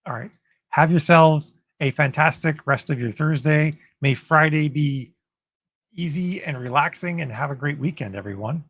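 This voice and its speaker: Gravelly, husky-voiced man chatting casually. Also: on the high side, normal speed, a bit bright, no echo. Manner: chatty, upbeat, full of fillers and restarts. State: voice getting raspy from long talking. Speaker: host of a writers' livestream. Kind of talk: casual livestream chat answering viewer comments.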